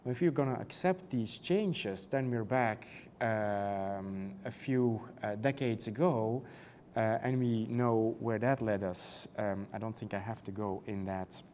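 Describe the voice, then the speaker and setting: A man's voice: lowish voice, darkish tone, slight background noise. European-accented man giving a panel talk. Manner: measured, many "uh" and "um" fillers, rhetorical questions. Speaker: panelist, canon law professor.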